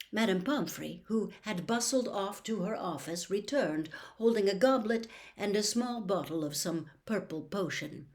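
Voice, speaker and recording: A woman narrating a storybook expressively as an audiobook reader. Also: lowish voice.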